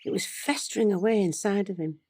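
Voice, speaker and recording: An older woman playing a loving, regretful British grandmother in an audio drama, confiding her story in a reflective, measured way. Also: mid-range voice, darkish tone, lightly acted. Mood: tense, remembering.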